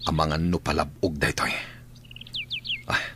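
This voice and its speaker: A wary, troubled hill outlaw in an Ilocano radio drama. The voice is deep with an Ilocano accent, set in noisy sound with chirping birds. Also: male voice, level tone, measured pace. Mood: suspicious. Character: suspicious, troubled armed outlaw hiding in the hills. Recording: noisy, birdsong effects behind.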